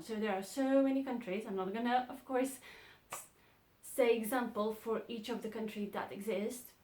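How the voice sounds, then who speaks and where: A woman teaching a beginner language lesson, repeating short phrases slowly and clearly. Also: on the high side, reverberant. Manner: slow, clear model phrases, repeated twice. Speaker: Russian teacher.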